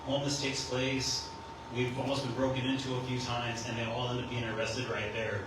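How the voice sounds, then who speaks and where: A resident with a loud voice putting a direct, pointed question to a candidate at a public forum. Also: male voice, mid-range voice, level tone, a little fast. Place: public meeting hall. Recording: echoey hall, distant mic, noisy.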